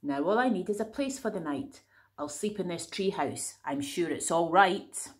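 A woman's voice: lowish voice, expressive, moderate pace, voice pushed down, natural voice. A woman voicing a greedy, mischievous male rhino who has escaped from the zoo, savoring every treat. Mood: greedy, pleased.

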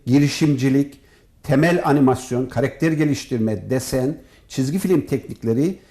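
A man giving formal, measured interview answers as an academic guest, as if from prepared notes. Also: medium pitch, dark tone. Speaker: professor and department head, interview guest.

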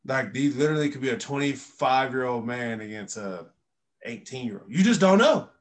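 A young man who played college football, talking as a guest on a sports podcast with emphatic, animated delivery over a laptop mic. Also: mid-range voice, moderate pace. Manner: emphatic, animated, uses many fillers. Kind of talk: casual sports talk. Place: indoor room.

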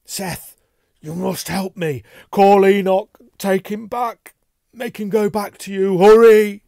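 Horror story role: a terrified, begging man, strained high voice, agitated and desperate. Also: fairly even intonation, takes it slowly, vocal fry, warm tone, no room sound, studio quality, heavily acted. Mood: desperate pleading. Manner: pleading, agitated, sobbing. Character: terrified frantic man begging to be rid of a demon. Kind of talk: dramatic horror story reading.

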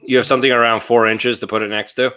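A man with a bright, forward voice banters casually on a group call. His pitch is average and the sound is dry, without room echo. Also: little pitch movement, fast talker, smooth. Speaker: panelist. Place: video call.

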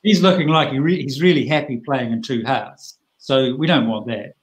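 A middle-aged man explains a card hand over a video call. He talks in a chatty, plain-spoken way, tossing in lively asides. His voice sits at an ordinary pitch. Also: normal speed, rough recording. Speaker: bridge teacher.

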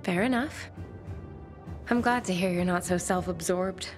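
An actress playing a pensive, sensitive young bookish survivor in a video game, speaking in measured, formal phrases. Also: female voice, lowish voice, faint music behind, natural voice. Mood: probing, reserved. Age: young adult. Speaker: game character. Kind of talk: video game cutscene dialogue.

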